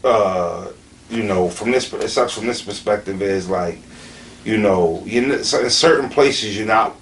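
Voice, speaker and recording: A young man with a Philadelphia accent speaks in a fairly low voice. He talks candidly and emphatically, circling back on his phrases with plenty of slang and cursing. The mic sits at a distance, with faint noise behind him. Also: measured pace. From Southeastern Pennsylvania, USA. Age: late 20s. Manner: emphatic, repetitive, peppered with slang and swearing. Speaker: battle rapper being interviewed. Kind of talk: candid conversational interview.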